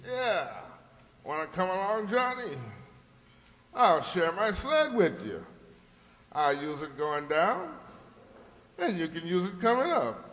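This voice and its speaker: High-voiced, spry old codger. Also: male voice, big pitch swings, takes it slowly, long pauses, a bit bright, exaggerated voice. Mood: cheeky, eager. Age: older. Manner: folksy, boastful, calls people "daughter". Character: spry, cheeky old man full of boyish bravado. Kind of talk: radio comedy acting. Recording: reverberant community stage hall.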